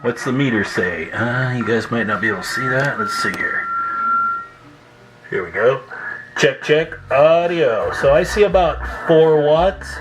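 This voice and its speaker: A man's voice: mid-range voice, lively intonation, unhurried, over loud music, rough recording. A man giving a casual, hands-on demonstration as a repair technician, informal and folksy, thinking aloud as he works indoors at a test bench. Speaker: radio repair technician and video host.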